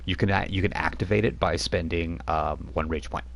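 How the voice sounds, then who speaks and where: A man running a tabletop game as game master, explaining rules and lore in a relaxed, rambling way. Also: deep voice, a little fast, gravelly, slight background noise. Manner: explanatory, with frequent fillers and restarts.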